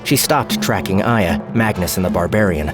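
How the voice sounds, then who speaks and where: A man narrating an action-packed audio drama in a measured, storytelling delivery, with music behind him. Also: low voice, animated. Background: music score.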